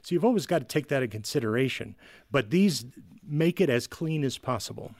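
A man's voice: mid-range voice, expressive, brisk, darkish tone, no echo. Middle-aged man, dry studio sound. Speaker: video game reviewer, podcast regular. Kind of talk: casual conversational discussion.

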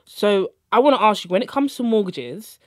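A woman's voice: medium pitch, sing-song, moderate pace, dry recording, clean studio sound. A young woman hosting a podcast, introducing her guest and asking questions in a friendly, conversational way. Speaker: podcast host. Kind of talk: podcast interviewing.

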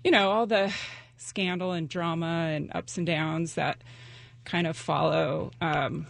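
A woman's voice: low voice, steady tone, a little slow, creaky. A middle-aged woman talking about her novel as a guest in a casual interview, chatty and full of fillers. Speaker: guest author.

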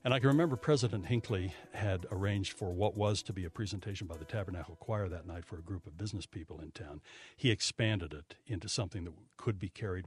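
An older American man speaking reflectively and conversationally in an interview, often halting and repeating words. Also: sits low, husky. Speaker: radio news veteran interviewee. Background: music under the voice.

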